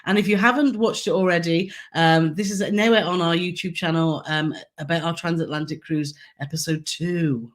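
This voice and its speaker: A woman with a hoarse, croaky throat co-hosting a casual live Q&A, chatty and giggly as she reads out viewer comments. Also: fairly low pitch, fairly even intonation, moderate pace, few pauses. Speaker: co-host of a travel channel live stream.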